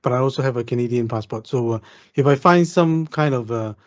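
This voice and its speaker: A man from Vietnam speaks at a middle pitch, hesitant and halting, full of "uh" and "um" and repeated words. He laughs now and then as he talks. The recording sounds dull and lo-fi. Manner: hesitant, many restarts and fillers, laughs. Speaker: participant. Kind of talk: casual chat.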